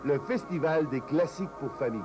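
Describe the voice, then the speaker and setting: A man's voice: fairly high pitch, expressive, fairly quick, over loud music. A man giving a formal scripted announcement as a cartoon's title announcer.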